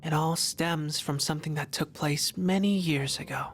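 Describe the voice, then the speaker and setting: An actor playing a calm, gentle, mysterious young boy in a video game, speaking in a measured, quietly intimate way. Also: a little high, slight background noise, natural voice.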